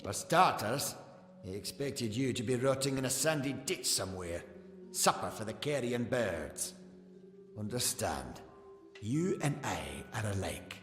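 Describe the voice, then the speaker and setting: An actor playing a smug, menacing gnome scholar villain in a fantasy game, with a condescending, taunting, theatrical manner. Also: male voice, medium pitch, very animated, measured pace. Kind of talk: scripted fantasy game dialogue. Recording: echoey hall reverb, music behind.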